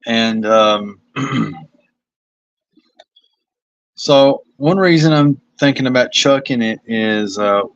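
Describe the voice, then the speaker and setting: A man's voice: mid-range voice, takes it slowly, long pauses, dry recording, highs cut off. A man with a Kentucky accent, a comic artist talking casually and self-critically about his pages on a livestream. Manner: rambling, self-critical, hesitant. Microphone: phone.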